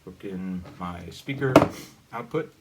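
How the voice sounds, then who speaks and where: A man giving a casual hands-on gadget demonstration in a halting, informal, step-by-step way. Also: deep voice. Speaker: hobbyist demonstrator. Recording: steady background noise.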